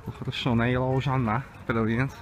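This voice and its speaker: A man talks casually in a noisy urban outdoor setting with vehicles around. His voice sits at a middle pitch. He rambles and repeats himself as he comments. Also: little pitch movement, fairly quick. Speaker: vlogger. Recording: noisy outdoor recording with traffic ambience.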